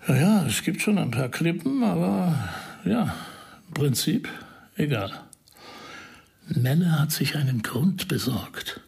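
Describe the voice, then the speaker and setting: Radio crime drama: shrewd male investigator, persuasive and deliberate, rough husky tone with fry. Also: fairly high pitch, very animated, a little mellow, heavily acted. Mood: deliberate, explaining. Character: shrewd male investigator explaining a dismissal intrigue to a friend.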